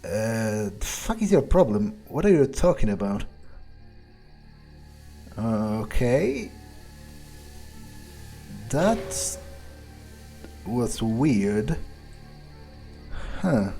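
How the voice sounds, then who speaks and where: A man's voice: fairly low pitch, very animated, extremely slow, long pauses, dark tone, faint music behind, heavily acted. A man playing a crude, cocky, irritable male vampire in a game, muttering profane asides to himself. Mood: musing. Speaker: game player in character. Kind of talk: improvised in-character game playthrough.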